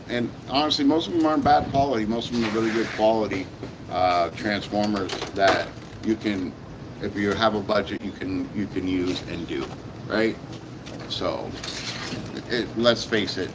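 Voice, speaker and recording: A very deep-voiced man talks in a loose, rambling way, circling back to repeat himself with plenty of ums and uhs. His voice is hoarse and sore. Clear background noise sits under the recording. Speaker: toy reviewer. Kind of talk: casual vlog chat.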